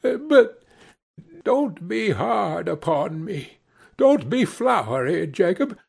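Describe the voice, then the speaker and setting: Give me a scared old miser from a storybook reading, faltering and stammering as he pleads, as a man puts him on with a much higher, anxious voice. The lines quiver upward. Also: very animated, takes it slowly, vocal fry, a little mellow, husky, full character voice. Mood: nervous pleading.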